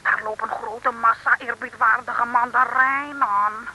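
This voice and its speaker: An actress playing an eager, excitable young female detective sidekick in a 1950s Dutch radio serial. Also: fairly high pitch, measured pace, natural voice. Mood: excited. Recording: faintly noisy old radio recording.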